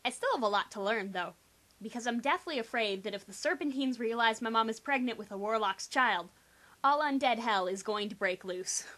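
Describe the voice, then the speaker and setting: Young female reader, high bright voice, sarcastic and theatrical delivery of a teen novel. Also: moderate pace. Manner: exaggerated, sarcastic, theatrical emphasis. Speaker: amateur reader. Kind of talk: dramatic reading of a first-person teen novel.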